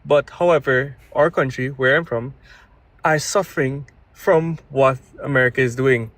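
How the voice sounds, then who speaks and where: A young man from Guyana speaks as a caller on a live stream. He talks politely and in a measured, explanatory way, and his voice sounds dry and close. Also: mid-range voice, fairly bright tone, dry recording. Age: 20s.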